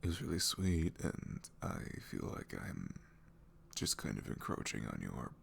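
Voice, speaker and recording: Casting: male actor as nervous, sorry young ex-boyfriend for ASMR roleplay; very low, soft voice, slow halting delivery. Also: very animated, vocal fry, slight background noise, heavily acted. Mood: apologetic, hesitant. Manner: hesitant, halting, trailing off. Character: awkward apologetic young ex-boyfriend, a college student. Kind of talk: intimate ASMR audio roleplay.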